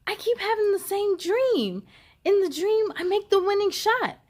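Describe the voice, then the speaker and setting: A woman voicing an eager, dreamy young boy in a storybook, excited and exclaiming. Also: extremely high pitch, unhurried, a little hiss or hum, voice pushed up. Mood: excited, confident. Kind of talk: storybook read-aloud.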